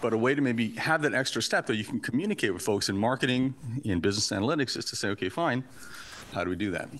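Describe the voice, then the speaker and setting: A Canadian-raised man whose first language is Portuguese, giving a lively, joking academic talk in a lecture hall. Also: medium pitch, fast. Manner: lively, chatty, joking asides. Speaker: invited conference speaker.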